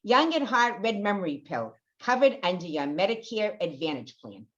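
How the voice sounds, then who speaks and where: A woman performs an enthusiastic older customer selling a product in a spoof radio commercial. Her pitch is middling and the delivery is scripted testimonial, on slightly dull audio. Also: big pitch swings, normal speed, a bit bright. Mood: promotional.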